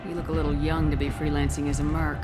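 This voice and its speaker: Actress doing tough soldier lady in a video game, really deep for a woman, short and direct, music under it. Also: flat delivery, fast, lightly acted. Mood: cool, firm. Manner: terse, cool, direct. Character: tough, self-assured female soldier posing as freelance mercenary.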